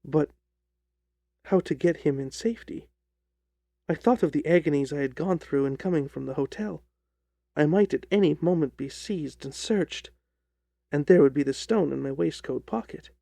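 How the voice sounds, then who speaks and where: Man, audiobook narrator, measured, deliberate, dramatic storytelling, relaxed dark tone, creaky, dry sound. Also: fairly high pitch, no room sound.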